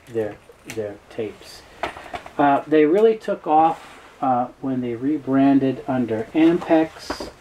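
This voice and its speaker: A man giving an informal, unscripted product explainer in a halting, matter-of-fact, knowledgeable way. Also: medium pitch, warm tone, a little hiss or hum, poor audio. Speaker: tape dealer presenting a product overview.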